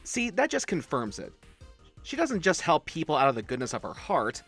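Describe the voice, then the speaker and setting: Young werewolf gamer buddy, male actor: lighter high register, very animated delivery, chatty, teasing, friendly. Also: normal speed, slightly rough, faint music behind, heavily acted. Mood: teasing, earnest. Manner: slangy, hushed-to-excitable, teasing. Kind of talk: roleplay audio acting, casual chatty.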